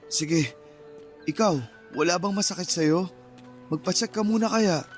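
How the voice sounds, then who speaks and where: A man's voice: high voice, measured pace. An actor playing a caring Filipino father and husband in a Tagalog radio drama. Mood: worried, caring. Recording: music under the voice.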